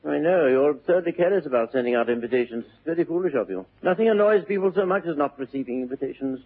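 An actor playing a witty, flippant upper-class English bachelor in a classic stage comedy, airy, glib and theatrical. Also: male voice, on the high side, animated, fast talker, breathy edge. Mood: airy, teasing. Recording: muffled vintage narrow-band radio recording.

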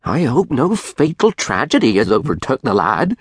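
A man's voice: medium pitch, sing-song, moderate pace, slightly rough, no room sound, pitched above the performer's own voice. Audiobook role: worried elderly West Country villager done by a male reader, grave, uneasy, recorded dry. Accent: rural English West Country. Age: older. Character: anxious, gentle old village grandfather. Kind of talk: audiobook character voice.

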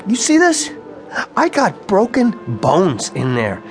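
A man's voice: high-pitched, wildly swooping pitch, slow, faint music behind, exaggerated voice. An actor playing a volatile working-class tough guy in a radio drama, brash and sarcastic with slangy street talk. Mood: angry, impatient.

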